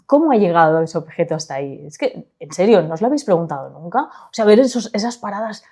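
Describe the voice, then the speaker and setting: A woman's voice: fairly low pitch, fast. A woman with a Spanish accent from Spain, chatting expressively about her story and then narrating it into a studio microphone. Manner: lively, expressive, gesturing while talking. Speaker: author reading her own story.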